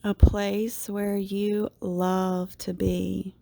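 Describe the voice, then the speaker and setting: A woman leading a guided meditation in a soothing, unhurried way, with long pauses and phrases that tend to trail off softly. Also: medium pitch, level tone, vocal fry. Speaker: yoga teacher, meditation guide.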